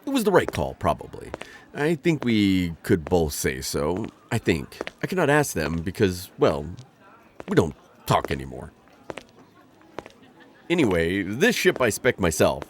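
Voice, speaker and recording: A flustered insectoid bounty hunter. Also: male voice, low-pitched, wildly swooping pitch, measured pace, slight background noise, exaggerated voice. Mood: wistful. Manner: formal, flustered, rambling and overexplaining. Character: awkward insectoid male alien bounty hunter. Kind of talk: ASMR roleplay audio drama.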